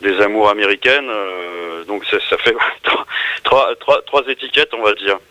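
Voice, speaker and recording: A man's voice: fairly low pitch, measured pace, bright, forceful tone, smooth, no echo, poor audio. A middle-aged man with a French accent chatting casually as a radio interview guest, searching for words and repeating phrases. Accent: French from France. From France. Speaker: singer-songwriter guest.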